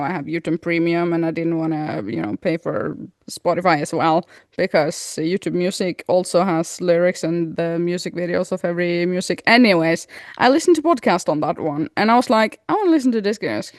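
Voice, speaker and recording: A woman co-hosting a casual podcast, talking in an animated way, laughing often and reading listener comments aloud. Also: lowish voice, moderate pace, few pauses, no echo, clean studio sound. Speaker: podcast co-host. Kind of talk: casual chat.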